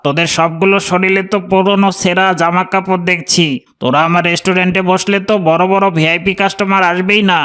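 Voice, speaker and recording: A man playing a snobbish, haughty restaurant owner in a cartoon, a figure who talks down to the poor and flatters the important. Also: high voice, a little fast, clear, resonant tone. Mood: scornful, irritated. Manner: haughty, scolding. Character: snobbish, rude male restaurant owner, fawning to important customers. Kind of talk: cartoon voice acting.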